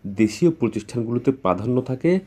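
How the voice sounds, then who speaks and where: A man's voice: medium pitch, lively intonation, fast talker, darkish tone. A man reading scripted news narration as a reporter.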